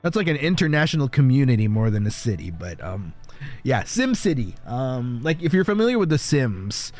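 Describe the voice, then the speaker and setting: A man's voice: medium pitch, sing-song, moderate pace, a little mellow, soft background music. Easygoing male streamer chatting. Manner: rambling, reassuring, laughs easily. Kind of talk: casual chat with viewers.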